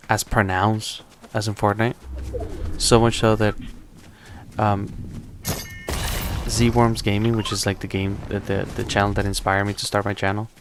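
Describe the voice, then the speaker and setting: Low-voiced man rambling over live gameplay. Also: a little slow, pauses often, a little hiss or hum. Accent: non-native English accent. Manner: relaxed, rambling with frequent restarts. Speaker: tech streamer.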